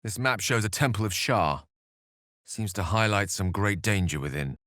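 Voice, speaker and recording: A learned wizard from a fantasy video game, with a fairly low voice that moves expressively up and down. He phrases things elaborately and theatrically, with dry wit, in a close, dry recording. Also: lively intonation, moderate pace, fairly bright tone, no room sound. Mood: measured, thoughtful. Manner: articulate, wordy, theatrical phrasing. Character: eloquent, scholarly male human wizard with dry wit.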